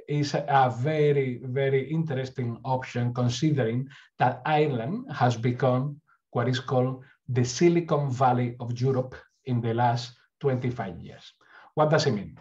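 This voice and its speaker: A man's voice: medium pitch, a little mellow, muffled recording. Spanish-accented man presenting over a video call. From Spain. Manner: earnest, frequent restarts and repeated words. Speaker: university recruitment speaker. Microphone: webcam on a video call.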